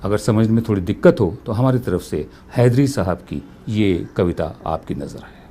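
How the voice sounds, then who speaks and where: A man's voice: fairly low pitch, lively intonation, normal speed, dark tone, a little hiss or hum. A man giving political commentary, emphatic and full of pointed rhetorical questions. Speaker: news commentator.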